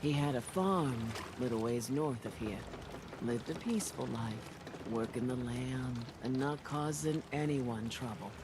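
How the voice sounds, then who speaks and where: A man's voice: medium pitch, unhurried, background noise, lightly acted. An actor voicing a folksy man in a video game who spins a long tale, talking in a homespun storytelling way. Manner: folksy yarn-spinning storyteller. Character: folksy, crafty island quest-giver man.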